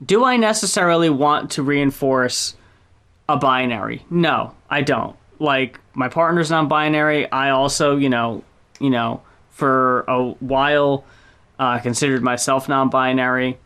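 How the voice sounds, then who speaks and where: A woman's voice: very deep voice, measured pace, a bit bright, clean tone. A woman co-hosting a podcast, chatting casually, blunt, swearing freely and laughing often. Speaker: podcast co-host. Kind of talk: casual chat about music.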